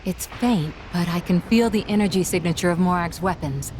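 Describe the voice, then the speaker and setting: A woman playing low-voiced sardonic warrior. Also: normal speed, darkish tone, slight background noise, close to an everyday voice. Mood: wry. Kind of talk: video game cutscene acting.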